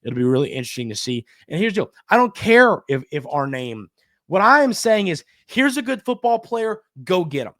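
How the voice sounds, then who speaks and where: A man's voice: high voice, big pitch swings, a little fast, a bit bright, dry recording. Emphatic male sports podcast host, dry sound. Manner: emphatic, repeats phrases for stress. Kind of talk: conversational sports commentary.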